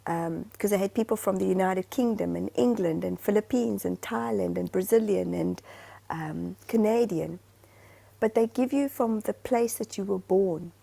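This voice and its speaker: A woman from Zimbabwe talking casually as a podcast co-host, rambling and animated with many restarts. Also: medium pitch, moderate pace, creaky. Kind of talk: casual chat.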